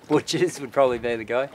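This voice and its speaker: A man's voice: mid-range voice, moderate pace. A man, chatting casually on a boat. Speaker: vlogger, spearfisherman. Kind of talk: casual chat. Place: on a boat, on deck and in the cabin. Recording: clear outdoor boat noise.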